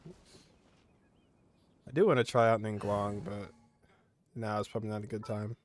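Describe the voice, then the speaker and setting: A man's voice: on the low side, fairly even intonation, normal speed. A man giving casual gameplay commentary, muttering offhandedly to himself as he plays. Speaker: gamer, streamer. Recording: game music behind voice.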